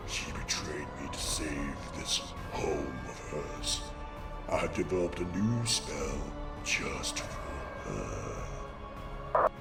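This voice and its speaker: A brooding unicorn tyrant in an animated comic drama, very deep and gravelly. He speaks slowly, with bitter menace and a melodramatic edge, over background music. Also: exaggerated voice. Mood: cold, vengeful. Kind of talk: melodramatic comic fandub acting.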